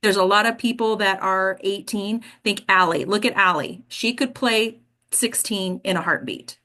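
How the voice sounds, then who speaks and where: A woman's voice: medium pitch, normal speed, bright, forceful tone. An American woman giving casual, direct coaching advice as a host, often checking in with the listener as she goes. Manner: direct, emphatic, checks in with "right?" and "okay". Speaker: host and acting coach leading an online discussion.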